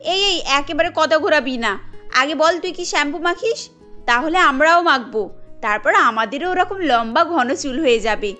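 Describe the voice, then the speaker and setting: A woman's voice: high-pitched, expressive, moderate pace, sharp tone, soft background music. A woman playing chatty, playful young village sisters-in-law in a cartoon. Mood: teasing.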